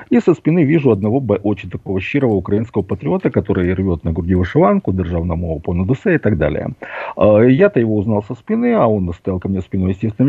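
A man's voice: lowish voice, gravelly, slightly rough, dry recording. A man telling anecdotes as a guest on a talk show, heard over a phone line.

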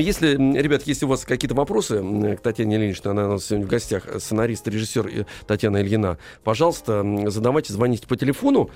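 A man's voice: medium pitch, darkish tone. A man hosting a casual live radio interview, speaking informally in a rambling, hesitant way. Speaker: radio host.

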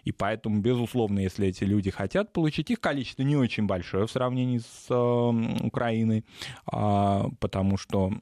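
A man's voice: lowish voice, fairly quick, a little mellow, no echo, studio quality. A man from Belarus, a talk show guest, explaining at length in a discursive way with frequent fillers.

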